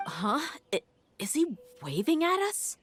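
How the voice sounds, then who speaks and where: A woman voicing a wary, capable young female mercenary in a video game, with a measured, decisive way of talking. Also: high-pitched, wildly swooping pitch, slight background noise, full character voice. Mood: startled, suspicious. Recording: background music under the dialogue.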